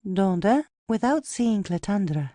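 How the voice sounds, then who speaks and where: A woman's voice: mid-range voice, expressive, takes it slowly, dark tone, no echo. A woman narrator reading out stage directions as scripted narration for an audio play.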